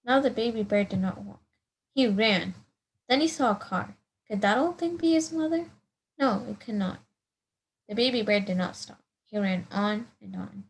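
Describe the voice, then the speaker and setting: A woman with a medium-pitched voice reads a picture book aloud for storytime. She narrates as a volunteer, in simple, clear phrases. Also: animated, moderate pace, low-quality recording.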